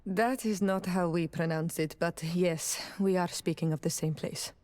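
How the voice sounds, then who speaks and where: A woman's voice: fairly low pitch, fairly even intonation, moderate pace, darkish tone, no echo, natural voice. An actress playing a reserved, direct native islander woman in a video game, speaking in terse, plain statements. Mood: correcting. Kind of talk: scripted video game dialogue.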